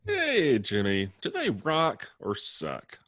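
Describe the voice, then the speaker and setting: Man playing drunk young wisecracker, sardonic, teasing, slow drawl, highly varied intonation, table-read drama. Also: huge pitch swings, fairly bright tone, heavily acted. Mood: mocking.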